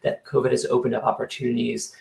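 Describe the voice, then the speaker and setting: A man's voice: medium pitch, flat delivery, fast, creaky, a little mellow, roomy sound. A man, a company co-founder, speaking earnestly on an online panel with frequent hesitations, over a video call. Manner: earnest, many fillers and restarts.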